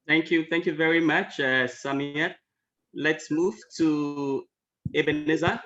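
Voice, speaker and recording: A man's voice: a little high, steady tone, slow, sharp tone. Polite man moderating over lo-fi audio. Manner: polite and apologetic, calling names in turn. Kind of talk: moderating an online conference. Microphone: video call. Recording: dull lo-fi call audio.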